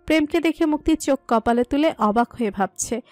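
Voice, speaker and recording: A woman's voice: sits high, expressive, a little fast, darkish tone, no room sound. A woman reading scripted narration for a romantic story.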